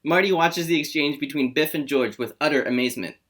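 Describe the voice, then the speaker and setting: A man's voice: a little high, fast, fairly bright tone. A man reads scene descriptions and stage directions from a script as narrator. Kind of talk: scripted narration, screenplay read aloud.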